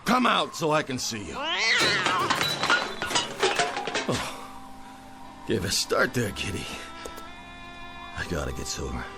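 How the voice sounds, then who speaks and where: An actor playing an aging, tender-hearted, down-and-out drinker in an emotional radio drama style. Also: male voice, lowish voice, wildly swooping pitch, a little slow. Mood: tipsy, startled. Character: aging, weary, drunken, tender-hearted down-and-out man. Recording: radio drama with music underneath.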